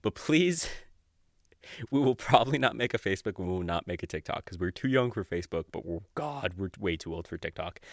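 A young adult man hosting a podcast solo, chatting casually and off the cuff in a giggly, rambling, sweary way with halting false starts. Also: on the low side, big pitch swings, no room sound, studio quality. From Mexico or of Mexican family. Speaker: podcast host. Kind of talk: casual unscripted podcast monologue.

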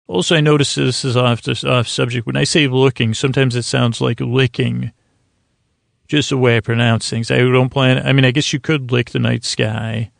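Man, slightly smoky and deeply creaky, narrow pitch range, soothing bedtime rambling, self-deprecating, halting. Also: fairly even intonation, no echo, clean studio sound. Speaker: podcast host.

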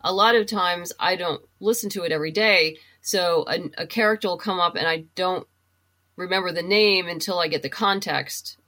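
A woman's voice: on the low side, moderate pace, sharp tone. A woman speaking as an interview guest, explaining things conversationally and thinking aloud in a casual chat about books. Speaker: guest, comic book writer and novelist.

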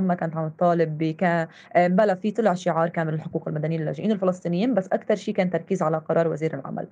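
A young woman with a Palestinian Levantine accent giving earnest personal testimony, talking in rapid bursts with frequent hesitations and restarts. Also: lowish voice, creaky, darkish tone, muffled recording. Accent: Palestinian Levantine Arabic. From Palestinian refugee in Lebanon. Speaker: interviewee.

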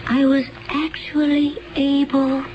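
An actress playing a detached, otherworldly young woman in a radio drama, halting and formal as if in a trance. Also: sits high, completely flat delivery. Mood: dazed, wondering. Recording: dull old radio sound.

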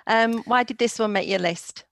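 A woman's voice: on the high side, moderate pace, dry recording. Woman radio presenter, dry close sound. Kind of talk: casual interview.

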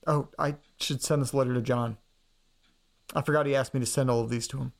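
Audio drama role, male actor: obsessive, nervy young man, medium pitch, hesitant and sincere delivery. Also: fairly even intonation, fairly quick, creaky, natural voice. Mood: distracted, wry. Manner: hesitant, stammering, earnest. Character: anxious, awkward, obsessive young man named Nate. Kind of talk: audio drama acting, naturalistic dialogue.

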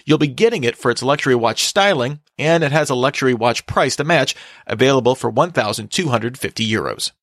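A man reading scripted tech news as a podcast host in a matter-of-fact, measured way. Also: medium pitch, expressive, a bit bright, dry recording, studio quality.

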